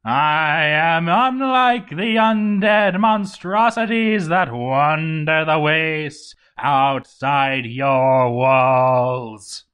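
A man's voice: fairly high pitch, drawn-out words, hardly any pauses, a bit bright, smooth, pitched above the performer's own voice. A man voicing a grandiose undead dwarf overseer in a storybook reading, proclaiming pompously to his people. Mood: grandiose, commanding. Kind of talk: theatrical storybook monologue.

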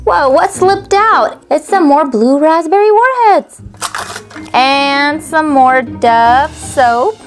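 A woman's voice: high-pitched, lively intonation, slow pace, faint music behind. A woman narrating a toy unboxing in a cheerful, sing-song voice-over, naming each item as she stocks a miniature store.

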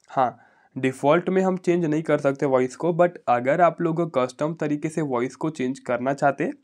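A man's voice: on the high side, darkish tone. A man giving a casual phone tutorial voice-over, repeating himself and often checking in with listeners. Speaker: tech YouTuber.

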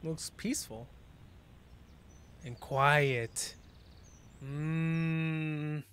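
A man's voice: a little high, extremely slow, smooth. A young man hosting a reaction video, joking and yelping at the scares, talking into a headset mic in a small bedroom setup. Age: young adult. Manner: jokey and animated, bursts into yelps and laughs. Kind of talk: casual commentary reacting to a horror video. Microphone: headset with desk mic.

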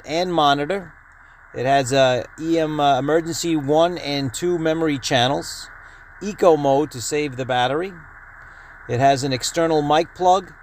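A man hosting a hobby video, talking through a product in an informal, matter-of-fact way. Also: mid-range voice. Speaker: host of a radio-hobby video channel. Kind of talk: casual product announcement and feature rundown. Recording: clear steady background noise.

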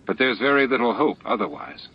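A man voicing a steady, dutiful starship captain in an audiobook, frank and measured. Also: medium pitch, slightly rough, pitched above the performer's own voice. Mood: grave. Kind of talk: audiobook character dialogue. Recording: muffled lo-fi audiobook with music.

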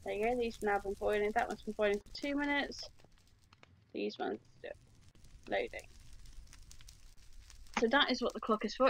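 A woman's voice: a little high, low-quality recording. A woman talking through a game tutorial step by step, casual and chatty, recorded at her computer. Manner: chatty, explains step by step with fillers.

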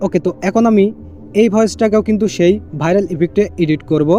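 Guy doing a tech how-to, talks fast, kinda high soft-edged voice, repeats steps, throws in english words. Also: soft background music. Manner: repetitive step-by-step explaining with English catchwords. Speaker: tech tutorial host. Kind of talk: casual screen-recorded how-to narration.

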